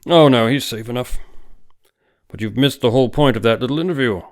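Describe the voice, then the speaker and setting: A man's voice: mid-range voice, fairly quick, pitched above the performer's own voice, close to an everyday voice. A man voicing a vehement, scheming older male psychiatrist in an audiobook, emphatic and condescending. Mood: confident, dismissive. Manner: emphatic, exclamatory, argumentative. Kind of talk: audiobook character voice.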